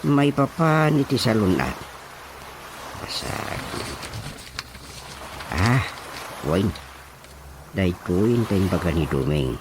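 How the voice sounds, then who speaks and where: A man with an Ilocano accent playing a flustered older father in a radio comedy drama, halting and stammering. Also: sits low, very animated, background noise, full character voice. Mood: puzzled reading. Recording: music behind the voice.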